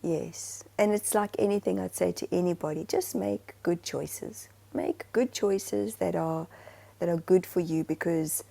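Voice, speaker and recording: Chatty woman with a gravelly, mellow voice. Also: on the low side, unhurried. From Zimbabwe. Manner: rambling, animated, many restarts. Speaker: podcast co-host. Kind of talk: casual chat.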